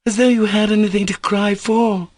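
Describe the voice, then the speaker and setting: A man reading an audiobook voices a sharp, teasing young woman who talks reproachfully and insistently. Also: sits high, flat delivery, normal speed, no room sound, pitched above the performer's own voice.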